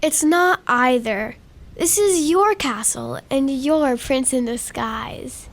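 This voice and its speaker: High, unhurried young girl in an audio drama, gentle and imploring, played by a woman. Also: animated, exaggerated voice. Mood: earnest, dreamy. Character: gentle, pleading young girl full of make-believe.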